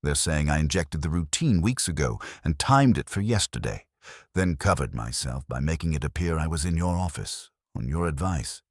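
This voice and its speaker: A man's voice: very deep voice, lively intonation, moderate pace, dry recording, clean studio sound, heavily acted. A man voicing a composed, defiant businesswoman client, precise and firm, in a mystery audiobook.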